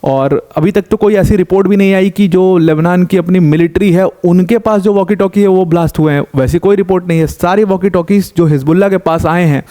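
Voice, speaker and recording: A man's voice: high-pitched, a little fast, hardly any pauses, warm tone. A young man giving a conversational current affairs explainer, asking rhetorical questions and mixing in English terms. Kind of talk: explainer news commentary.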